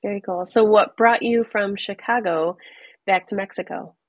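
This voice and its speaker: A woman's voice: medium pitch, steady tone, moderate pace, no echo. A woman hosting a podcast interview in a friendly, affirming way. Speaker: podcast host.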